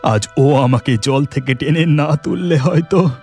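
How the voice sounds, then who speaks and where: Excitable village fisherman, played by a man, cartoon, high pitch, exclamatory, dramatic, clear music bed. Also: measured pace. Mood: relieved, grave. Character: excitable village fisherman devoted to his cow.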